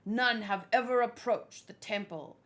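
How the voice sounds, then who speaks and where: A woman reading the part of a hurried castle servant who gives travellers matter-of-fact, ominous warnings in a manga read-along. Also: a little high, fairly bright tone. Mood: grave warning.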